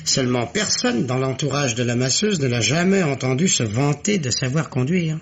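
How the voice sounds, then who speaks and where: An actor playing a methodical, mocking police investigator in a French radio mystery, speaking in a deliberate, ironic way. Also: male voice, medium pitch, lively intonation, natural voice. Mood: reasoning. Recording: muffled, noisy vintage radio recording.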